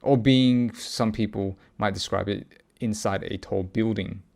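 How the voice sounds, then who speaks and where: A man recording a reflective personal audio log, speaking haltingly as he searches for words and often pauses mid-thought. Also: on the low side, steady tone, vocal fry, a little mellow. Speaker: podcast host.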